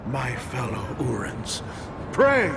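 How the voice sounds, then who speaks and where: Grandiose, slow-speaking dark sorcerer villain. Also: male voice, medium pitch, huge pitch swings, gravelly, background noise, full character voice. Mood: mock grief, pleading. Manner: theatrical, deliberate, commanding oratory. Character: grandiose, manipulative male dark sorcerer villain, royal regent. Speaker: voice actor in a game cutscene. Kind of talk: theatrical scripted game drama.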